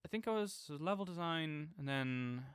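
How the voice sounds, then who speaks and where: A man's voice: on the high side, expressive, normal speed, smooth, no room sound, clean studio sound, close to an everyday voice. A man playing a chatty, nerdy roommate who rambles enthusiastically about a video game in a casual, digressive audio roleplay monologue. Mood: earnest, rambling.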